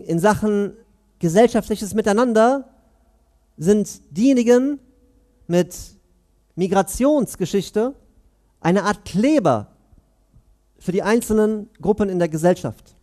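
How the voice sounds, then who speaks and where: A man in his thirties giving a Friday sermon in a mosque, earnest and questioning, pausing between phrases, on a headset mic. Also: high-pitched, warm tone. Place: mosque prayer hall, indoors.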